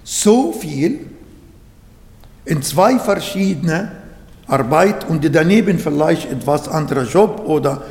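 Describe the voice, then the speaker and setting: A man who is not a native German speaker, preaching a sermon in slow, halting German with restarts. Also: on the high side, animated, darkish tone. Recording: echoey hall.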